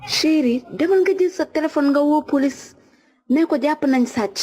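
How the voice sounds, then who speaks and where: A woman's voice: high-pitched, moderate pace, noisy background, close to an everyday voice. A woman with a Senegalese accent acting a scornful housewife in a comic cartoon. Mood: commanding. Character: scornful housewife confronting a thief. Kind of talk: comic animated cartoon dialogue.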